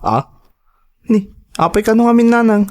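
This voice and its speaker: Guy acting stubborn boozy young husband, ilocano drama, kinda high voice, pleading n defensive. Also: normal speed, natural voice. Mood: defensive, indignant. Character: hard-drinking, stubborn young husband and son, Pitong.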